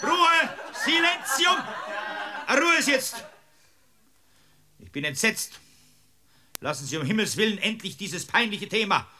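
A bright, forceful, rather high-pitched male voice as a prudish Bavarian judge in a comic radio play. He is pompous and officious, scolding in a stern, easily flustered manner. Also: very animated, unhurried, long pauses, slight background noise, exaggerated voice. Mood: outraged. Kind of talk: comic radio drama acting.

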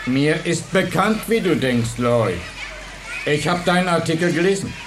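An actor playing an intense, domineering and defiant high school teacher in a German radio play. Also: male voice, expressive, normal speed, background noise, natural voice. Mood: resolved. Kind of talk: German radio drama acting.

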